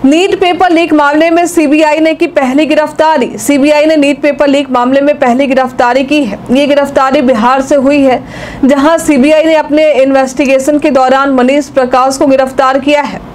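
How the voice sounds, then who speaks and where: Young female news anchor: high, level voice, steady studio read, faint hiss. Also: normal speed. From India. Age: young adult. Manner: steady, even newsreading delivery.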